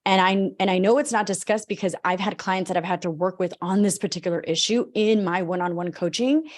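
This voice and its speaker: A woman's voice: mid-range voice, a little fast. A woman hosting a podcast, eager and chatty, running her sentences together as she introduces a guest. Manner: eager, talks in long run-on sentences. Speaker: podcast host. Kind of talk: podcast interview, enthusiastic intro.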